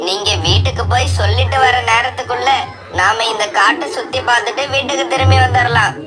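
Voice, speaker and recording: A woman voicing a carefree, self-centred young male bird in an animated children's story, breezy and insistent. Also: on the high side, brisk, music in the background, natural voice.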